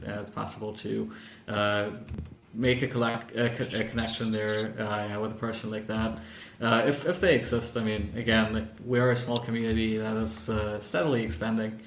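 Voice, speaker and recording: A man who is a native German speaker, talking in a casual online discussion in a halting way, with many restarts and fillers. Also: lowish voice, reverberant, slight background noise. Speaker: round table panelist.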